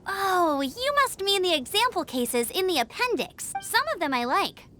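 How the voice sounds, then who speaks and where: A woman's voice: extremely high pitch, sing-song, normal speed, sharp tone, slight background noise, heavily acted. A woman voicing a perky, bossy but friendly young female knight examiner in a video game, with an emphatic, peppy delivery. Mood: chatty, enthusiastic. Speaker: gliding exam examiner. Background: background music.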